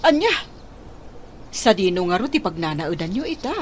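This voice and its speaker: A lively, swooping voice of a kindly madam of the house in a radio drama. She sounds concerned and inquisitive, over faint noise. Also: fairly low pitch, normal speed. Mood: curious, concerned. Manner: concerned, questioning, generous. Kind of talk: Philippine radio drama acting.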